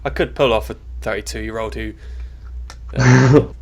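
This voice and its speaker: A man's voice: mid-range voice, steady tone, measured pace, gravelly, a little mellow, dull audio. A man in his mid twenties with a British accent, bantering and joking on a casual livestream. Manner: joking, sweary. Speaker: co-host of a lucid dreaming stream.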